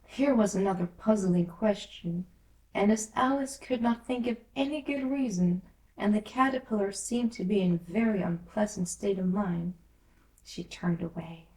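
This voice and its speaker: A woman's voice: medium pitch, normal speed, a little mellow. A woman reading a children's classic aloud as scripted storybook narration. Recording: roomy echo, distant from mic.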